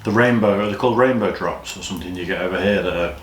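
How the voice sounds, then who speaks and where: A man's voice: deep voice, fast talker. Blunt, teasing man in an echoey room. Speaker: vlogger. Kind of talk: casual chat. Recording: echoey room, faint noise.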